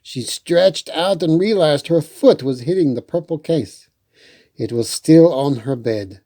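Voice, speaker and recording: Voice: medium pitch, lively intonation, measured pace, warm tone, no room sound. A children's storytime host reading a picture book aloud expressively, with playful asides to the listeners.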